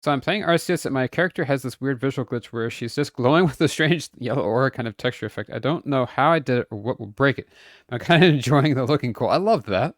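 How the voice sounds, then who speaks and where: A man's voice: medium pitch, lively intonation, fast, no room sound. Casual male streamer, close dry mic, chatty and upbeat. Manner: chatty, laughs often, greets viewers brightly. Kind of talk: casual livestream chat.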